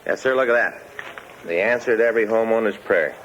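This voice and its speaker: Male actor as chatty, earnest American cop and homeowner, lowish voice, dull vintage radio sound. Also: brisk, fairly bright tone, lightly acted. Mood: eager. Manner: folksy, talkative, earnest. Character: chatty, earnest homeowner policeman, enthusiastic and easily aggrieved. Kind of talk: 1950s radio drama acting, conversational. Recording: muffled old radio recording, faint noise.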